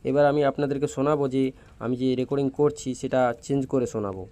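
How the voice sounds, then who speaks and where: A man walks through a casual tutorial step by step. He talks at a rapid pace in a dark, relaxed voice of medium pitch. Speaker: tutorial presenter.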